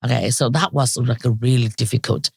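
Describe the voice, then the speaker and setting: Chinese-accented woman, halting and upbeat. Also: a bass voice, fairly even intonation, creaky, breathy edge, dry recording, studio quality. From China. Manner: halting, many restarts and fillers, upbeat storytelling. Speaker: interview guest. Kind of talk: casual interview chat.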